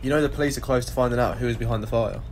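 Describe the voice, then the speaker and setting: A man's voice: medium pitch, fairly even intonation, racing, darkish tone, a little hiss or hum, natural voice. A man playing a concerned office colleague in an audio drama.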